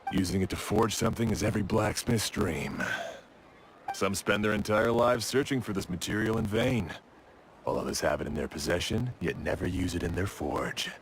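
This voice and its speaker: An actor playing a proud, exacting blacksmith in a video game, speaking in a measured, wry, matter-of-fact way. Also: male voice, deep voice, animated, a little hiss or hum. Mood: earnest, reflective. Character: proud, exacting male blacksmith, gruff but obliging craftsman. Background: game music.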